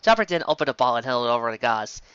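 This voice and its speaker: A woman reading a fan-made story aloud as an expressive amateur narrator. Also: a bass voice, racing, clean tone, dry recording. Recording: dull, close lo-fi recording.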